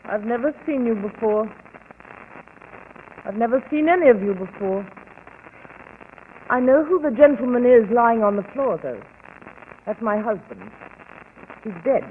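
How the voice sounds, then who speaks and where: An actress playing a deranged, murderous wife in a dramatic, dazed manner for a 1940s radio mystery. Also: female voice, a little high, animated, unhurried, pauses often. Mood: dazed, eerily calm. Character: deranged murderous wife, eerily calm, breaking into hysteria. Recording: tinny, noisy old 1940s radio broadcast.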